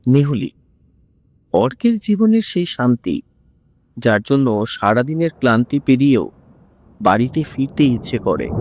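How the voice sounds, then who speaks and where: A man narrating a horror story in a suspenseful, drawn-out, dramatic way, as the show's host. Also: mid-range voice, darkish tone.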